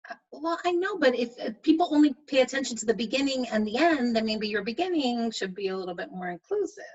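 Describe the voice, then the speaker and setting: A woman's voice: a little high, lively intonation, fast talker, breathy edge, far from the mic, muffled recording. A woman playing an outspoken, insistent student in a web comedy, pointed and questioning. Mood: challenging. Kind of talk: scripted comedy acting on a video call.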